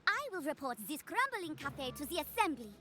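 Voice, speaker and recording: A woman's voice: high voice, very animated, moderate pace, music in the background. A woman voicing a fussy, formal citizen in a fantasy video game. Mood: fussy, eager to leave.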